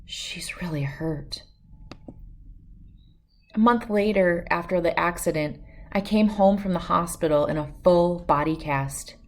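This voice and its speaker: A woman's voice: fairly low pitch, moderate pace. A woman reading a children's picture book aloud as host, telling it expressively in the first person. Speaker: storybook read-aloud host.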